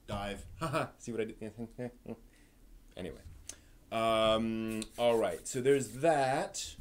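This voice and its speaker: A man hosting a live stream in a casual, improvised way, thinking aloud with halting phrasing. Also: lowish voice.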